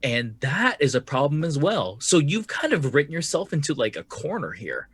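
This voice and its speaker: A man's voice: fairly high pitch, big pitch swings, normal speed, fairly bright tone, husky. A man co-hosting a podcast, making emphatic critical points, sometimes cursing for emphasis. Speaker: podcast co-host. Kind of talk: casual panel discussion.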